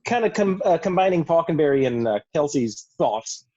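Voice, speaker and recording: A man chatting casually on a video call, halting and circling back over his phrases. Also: a little high, lively intonation, music in the background. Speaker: cast member. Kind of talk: casual chat. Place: online group video call. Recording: dull lo-fi video call audio.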